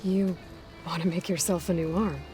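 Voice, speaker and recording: An actress playing a resourceful young woman hunter who talks in a direct, practical, steady way in a video game cutscene. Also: lowish voice, normal speed, music in the background, close to an everyday voice. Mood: calm.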